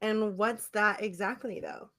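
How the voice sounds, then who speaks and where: A woman co-hosting a casual comedic podcast, telling stories in an animated, slangy way, acting out her replies and laughing often. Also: medium pitch, unhurried, gravelly. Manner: animated storytelling, acts out her own text replies. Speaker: podcast co-host.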